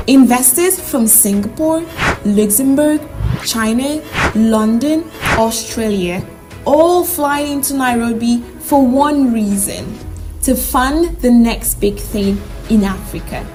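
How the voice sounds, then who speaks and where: A woman reading a scripted promotional voice-over in a punchy, persuasive manner. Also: on the high side. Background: music.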